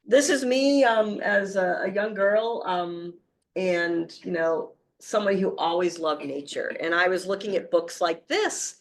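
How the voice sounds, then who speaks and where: A hoarse woman in her forties from the Boston area, speaking with a Boston accent, giving an animated, story-filled talk with many fillers. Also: fairly low pitch, measured pace. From Boston area, Massachusetts. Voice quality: gravelly, creaky. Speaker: wildlife conservation speaker. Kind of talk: public talk with slides.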